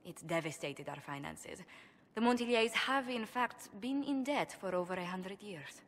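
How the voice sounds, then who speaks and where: A woman's voice: mid-range voice, animated, normal speed, fairly bright tone, big-room sound. An actress playing a proud, worried young noblewoman diplomat in a video game, speaking formally, precisely and earnestly. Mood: grave, confiding. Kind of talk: video game cutscene acting.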